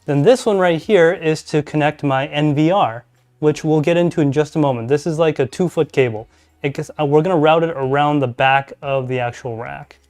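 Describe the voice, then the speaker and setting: A man hosting a casual live stream, talking through his network gear and reading chat aloud as he thinks out loud. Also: moderate pace. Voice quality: deep voice. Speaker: podcast host live streaming. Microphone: desk mic, level adjusted mid-stream.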